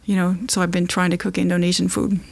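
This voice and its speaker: Woman, middle-aged, from Kentucky, interview guest, chatty, restarts, flat even pitch. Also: quick pace, gravelly, breathy edge. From Kentucky, USA. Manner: chatty, warm storytelling with frequent restarts. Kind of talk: casual interview conversation.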